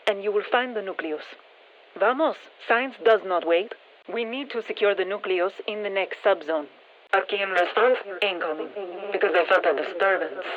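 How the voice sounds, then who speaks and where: A woman playing a composed female scientist who guides a mission in a video game, giving measured, businesslike instructions. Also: mid-range voice, phone-quality sound, lightly acted. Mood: encouraging. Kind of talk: scripted video game voice lines. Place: dry studio.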